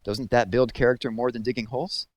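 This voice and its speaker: A man's voice: medium pitch, steady tone, fast, pitched above the performer's own voice, lightly acted. Man acting storybook teenage boy, earnest, defensive, mildly defiant.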